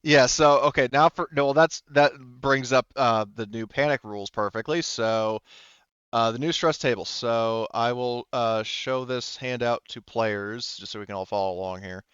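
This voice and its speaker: A man with a smooth, clean voice runs a tabletop game in an informal way. He sounds close and dry, as on an online voice call, with the sound a little dulled. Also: medium pitch, moderate pace, a bit bright, dry recording, dull audio. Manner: informal, sweary. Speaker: game master. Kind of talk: casual online tabletop game narration and rules talk.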